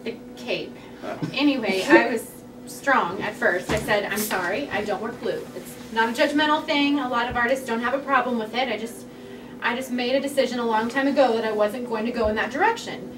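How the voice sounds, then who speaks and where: A woman at a comedy table read playing a vulnerable young comic artist, telling an embarrassing story in a dry, halting, sheepish way. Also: a little high, close to an everyday voice. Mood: dry, confessional. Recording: roomy, distant mic, noisy room.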